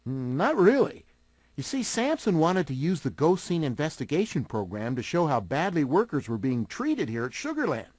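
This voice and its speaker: Cartoon game hero: earnest young leader, bright-eyed explainer with high, very animated pitch. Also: male voice, normal speed, no room sound. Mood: explaining. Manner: clean-cut, explanatory, take-charge. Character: earnest, confident young male mystery gang leader. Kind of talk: cartoon mystery game acting.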